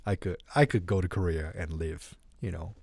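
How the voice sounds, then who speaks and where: Mellow low-voiced man, Japanese accent. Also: vocal fry, slightly rough, dry recording. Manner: relaxed, unhurried, light grammar slips. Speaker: guest musician. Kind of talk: casual interview storytelling.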